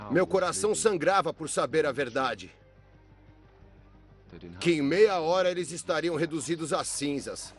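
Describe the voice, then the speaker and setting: A man's voice: on the high side, measured pace, long pauses, soft background music. Sorrowful male testimony voice-over. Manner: quiet, sorrowful recounting. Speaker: dubbed survivor testimony. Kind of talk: dubbed interview voice-over.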